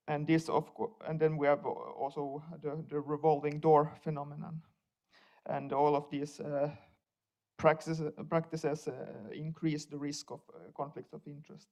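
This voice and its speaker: A man's voice: on the high side, gravelly, a little mellow. A young man from Finland whose first language is Swedish, giving a conference talk as a researcher, hesitant, with many fillers and repeated words.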